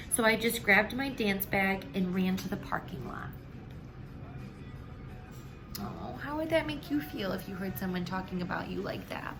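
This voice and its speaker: A woman's voice: on the high side, rough recording. A woman reading a children's storybook aloud expressively, with dramatic, emphatic phrasing.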